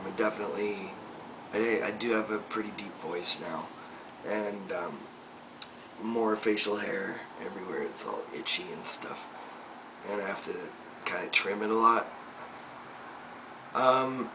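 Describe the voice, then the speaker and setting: A young man recording a personal video diary update, talking reflectively and softly with many pauses and fillers. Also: fairly low pitch. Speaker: vlogger. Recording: distant mic with noticeable room noise.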